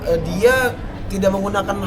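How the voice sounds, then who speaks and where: A man's voice: sits high, normal speed, over loud music. A young man from Sulawesi with a Makassar accent, an actor answering press questions earnestly with many fillers. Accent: Makassar Indonesian. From Sulawesi, Indonesia. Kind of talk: doorstop press interview answers. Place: crowded indoor press event. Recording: crowd noise around.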